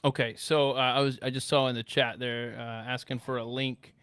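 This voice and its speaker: A man's voice: mid-range voice, level tone, moderate pace, a bit bright, clear, resonant tone, dry recording. A man in his fifties from the Atlanta area, hosting casual live-stream banter. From Atlanta area, United States. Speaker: talk show host.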